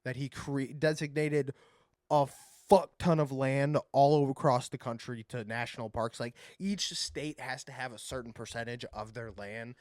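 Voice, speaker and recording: A man's voice: medium pitch, fairly even intonation, moderate pace, darkish tone, no room sound, clean studio sound. A young man from Ohio co-hosting a podcast, rambling loosely in casual chat, swearing freely and hedging when unsure of facts. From Ohio, USA. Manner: loose and rambling, swears often, hedges with "I don't remember". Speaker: podcast co-host.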